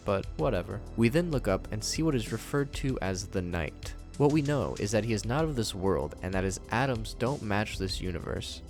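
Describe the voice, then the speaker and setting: Man, low voice, video host, scripted recap commentary, soft music behind. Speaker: host of a video series commenting on horror episodes.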